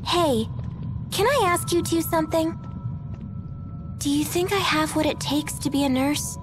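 Game casting: perky teen girl, high-pitched, earnest but unsure of herself, music underneath. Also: measured pace. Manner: expressive, plain-spoken, girlish. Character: perky, earnest, self-doubting teenage girl. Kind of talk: English video game voice acting.

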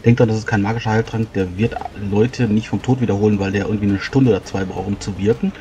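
A man's voice: lowish voice, steady tone, quick pace, dark tone, muffled recording. A man explaining tabletop game rules in detail and in a casual way, as a player. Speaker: tabletop role-playing player. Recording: faint background noise.

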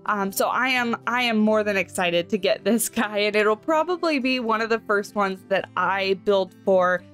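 A young woman hosting a game channel, chatting excitedly over footage, her voice a bit off from a cold. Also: mid-range voice, moderate pace, faint music behind. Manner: chatty and excited, reads animal facts. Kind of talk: casual screenshot commentary.

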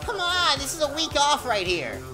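A woman voicing a brash, insistent male inner demon in an animated dark comedy, goading and scheming. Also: high voice, normal speed, music in the background. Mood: smug.

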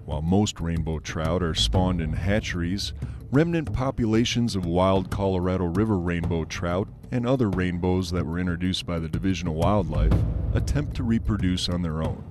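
Low-voiced male narrator. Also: normal speed, noisy background. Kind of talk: scripted documentary narration.

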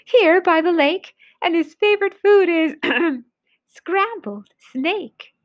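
A small, clever mouse acted out by a woman reading a storybook, bold and cheerful. The voice is high and swings up and down in a sing-song, boasting way. Delivery is emphatic and unhurried. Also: animated, exaggerated voice. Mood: cheerful, boastful.

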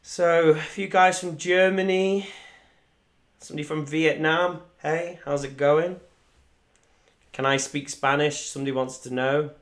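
Young male musician hosting a live stream, rambling and chatty, fairly monotone, desk mic at home. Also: on the high side, a little slow. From Wales. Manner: chatty, rambling, reads viewer comments aloud. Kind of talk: casual live stream chat. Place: small room indoors at home. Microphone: desk microphone in front.

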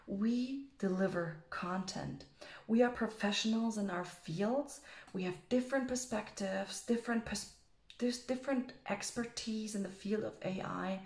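A woman in her thirties from Switzerland, German her first language, giving a recorded keynote to camera in an earnest, emphatic way with some filled pauses, recorded on a webcam in a small room. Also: medium pitch. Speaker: keynote speaker. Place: small room with bookshelf, indoors. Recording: echoey room sound.

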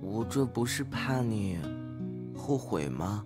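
A man playing a gentle, bookish male student in an amateur audio drama, speaking politely and earnestly. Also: fairly low pitch, animated, slow. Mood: mild concern. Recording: music behind the voice.

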